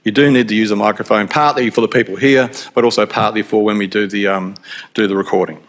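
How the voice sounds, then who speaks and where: A middle-aged Australian man opening a live event as its host, speaking in a relaxed way with joking asides and frequent fillers. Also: fairly low pitch, quick pace. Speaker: institute director opening an event. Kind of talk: live event welcome speech. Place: event venue with audience.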